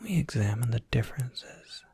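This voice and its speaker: An ASMR performer playing a courteous, soft-spoken university professor, whispering in formal, measured phrases with a breathy, husky voice. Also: medium pitch, very animated, no room sound, heavily acted. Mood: welcoming, formal. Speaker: professor overseeing a college major.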